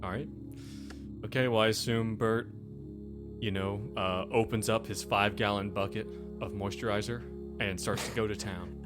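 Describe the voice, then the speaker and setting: A man's voice: lowish voice, fairly even intonation, moderate pace. A man acting as game master, casually narrating scenes and prompting his players with questions. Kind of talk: casual tabletop roleplay narration. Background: instrumental music bed.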